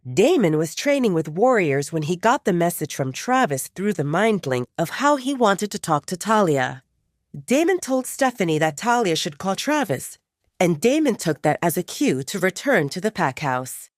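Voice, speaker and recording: A woman's voice: lowish voice, sing-song, moderate pace, no echo, studio quality. A woman narrator telling a story in a dramatic audiobook style.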